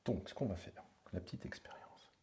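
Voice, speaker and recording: A middle-aged man hosting a paranormal exploration, talking in a low murmur with joking asides. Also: moderate pace, mellow, in a whisper. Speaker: paranormal investigator host. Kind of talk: hushed on-location vlog narration. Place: dark abandoned house at night. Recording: faint background noise.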